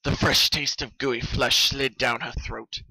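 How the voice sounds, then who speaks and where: A storyteller reads horror fiction with theatrical intensity. The voice is sharp and forceful, recorded dry without room sound. The delivery is scripted and dramatic. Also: medium pitch, flat delivery, measured pace, creaky, husky, no room sound, poor audio. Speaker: narrator.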